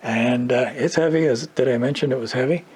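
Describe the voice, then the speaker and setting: An older man giving a casual, unhurried product walkthrough, often pausing mid-sentence as he explains. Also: medium pitch, a little mellow, husky. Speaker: vintage radio reviewer.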